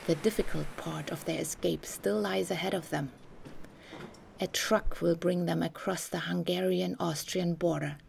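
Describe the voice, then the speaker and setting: Low, creaky-voiced woman narrating a story. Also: steady tone, normal speed, a little mellow, a little hiss or hum. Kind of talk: scripted documentary story narration.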